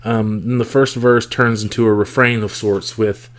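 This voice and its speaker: A man hosting a podcast, talking casually and thoughtfully with a rough, congested, scratchy voice from a cold. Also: fairly low pitch, fairly even intonation, moderate pace. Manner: reflective, thoughtful, with frequent fillers. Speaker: podcast host. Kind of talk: casual solo podcast talk.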